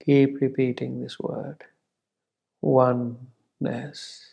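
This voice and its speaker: Male guide for spiritual meditation: reverent, unhurried, steady in pitch with noticeable fry, on a dull recording. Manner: gentle, reverent, measured with long pauses and repeated words.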